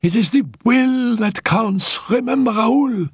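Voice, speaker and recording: A man's voice: slow pace, breathy edge, no echo, clean studio sound, full character voice. A man voicing a frail, feverish young woman who is dying but defiant, coughing and laughing breathlessly as she shrieks. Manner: coughing fits, breathless laughter, shrill outbursts.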